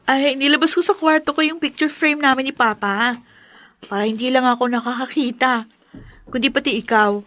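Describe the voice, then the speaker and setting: A woman's voice: sits high, moderate pace, a bit bright, dry recording. A woman acting a devoted young daughter who has lost a parent, in an earnest, emotional radio drama style. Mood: gentle, wistful.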